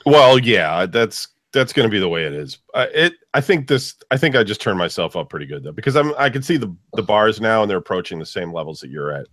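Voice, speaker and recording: A man with a low, bassy voice talks casually as a show co-host, sorting out audio as he goes. His pitch moves around a lot as he hesitates and thinks aloud, and the sound is close and dry. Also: expressive, brisk, few pauses, a bit bright, dry recording. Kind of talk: casual unscripted banter during a sound check.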